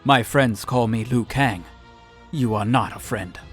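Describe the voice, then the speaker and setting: A man voicing a calm, wary martial arts hero in a comic dub, measured and earnest, with a husky voice. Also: mid-range voice, soft background music, lightly acted. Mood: cool defiance. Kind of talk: amateur comic dub voice acting.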